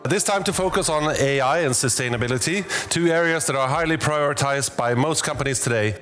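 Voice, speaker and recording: A man's voice: on the high side, brisk, a bit bright. A man opening an event as its moderator, welcoming and upbeat. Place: office event space. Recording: faint background music.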